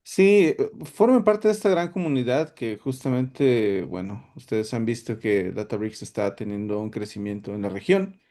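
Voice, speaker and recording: A man's voice: lowish voice, animated, moderate pace, hardly any pauses, mellow. A man hosting an online tech workshop, hesitant, with many fillers and restarts. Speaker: solutions architect, workshop host. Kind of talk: webinar presentation.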